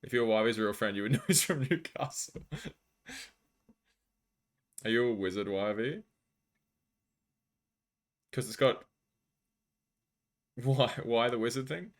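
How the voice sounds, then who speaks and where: A man's voice: mid-range voice, animated, a little slow, long pauses. An Australian man live streaming, chatting casually and jokily with viewers as he reads out their messages.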